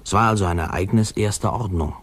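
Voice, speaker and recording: An actor playing a grown man narrating memories of his boyhood in a 1950s German radio play, in a measured, wry way. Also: fairly low pitch, a little hiss or hum, lightly acted. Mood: wry, amused. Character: adult man wryly recalling his small-town boyhood.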